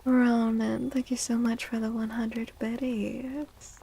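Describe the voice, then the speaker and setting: A soft-spoken woman hosting an ASMR livestream, gentle and unhurried, with a breathy, often whispered voice. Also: on the high side, little pitch movement, slight background noise. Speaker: livestream host and tarot reader.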